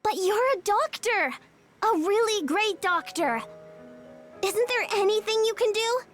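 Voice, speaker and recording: Tiny-voiced, very high childlike girl. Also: animated, measured pace, a bit bright, faint music behind, full character voice. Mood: shocked, pleading. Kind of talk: anime-style game cutscene acting.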